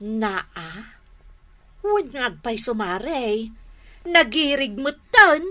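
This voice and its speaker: A woman's voice: fairly high pitch, very animated, slow, noisy background. A woman acting a gossipy village neighbour in a radio drama, teasing and exclaiming, with an Ilocano accent.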